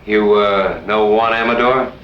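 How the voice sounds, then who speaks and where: An actor playing a stubborn, plain-spoken cattle rancher, hard and defiant, in an old-time radio western. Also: male voice, fairly low pitch, steady tone, a little slow, close to an everyday voice. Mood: grave. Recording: muffled, noisy, echoey old radio recording.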